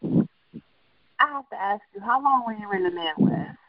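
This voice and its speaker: Gravelly-voiced playful interviewer. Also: medium pitch, lively intonation, unhurried, no echo, muffled recording. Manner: playful, teasing questions, laughs a lot. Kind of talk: casual interview chat.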